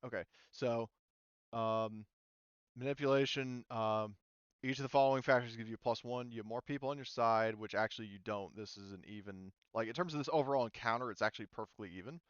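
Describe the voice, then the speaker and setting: Smooth-voiced man running a tabletop game. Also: mid-range voice, a little fast, no echo, lo-fi sound. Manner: hesitant, thinking aloud, informal swearing. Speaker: game master. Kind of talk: casual tabletop game refereeing, explaining rules.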